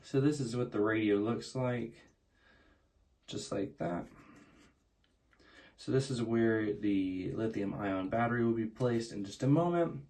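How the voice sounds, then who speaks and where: A man's voice: lowish voice, unhurried, long pauses, warm tone. A man hosting a casual product unboxing, explaining things plainly, step by step. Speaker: unboxing video host.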